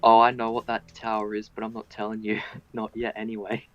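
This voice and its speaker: A quiet, soft-spoken man with an Australian accent, joining a game stream over a voice call without a proper mic. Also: on the low side, completely flat delivery, a little fast. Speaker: guest on call. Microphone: computer call audio without a proper mic. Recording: dull lo-fi call audio.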